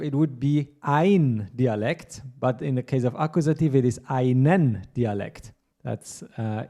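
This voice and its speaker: A man giving an online German lesson, explaining grammar plainly and reading slow model sentences, each said twice. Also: fairly high pitch, darkish tone. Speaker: German teacher.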